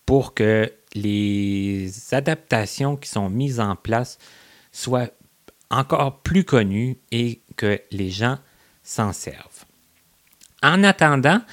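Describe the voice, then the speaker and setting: Chatty man hosting. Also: mid-range voice. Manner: chatty, many fillers and restarts. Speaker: interview host. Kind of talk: casual remote interview.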